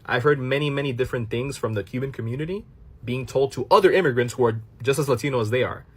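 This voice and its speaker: Brief: Miami-born man, husky mid-pitched voice, reaction commentary aimed at his viewers. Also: fast. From Miami, United States. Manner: explanatory, talks straight to viewers, drops in Spanish phrases. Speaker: commentary channel host. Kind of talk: casual reaction commentary to a clip.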